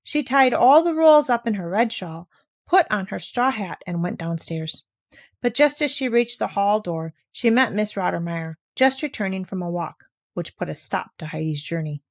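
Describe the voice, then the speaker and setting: A woman's voice: mid-range voice, animated, normal speed, no room sound, studio quality. A woman narrating a children's story, reading aloud from the written text. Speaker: audiobook narrator.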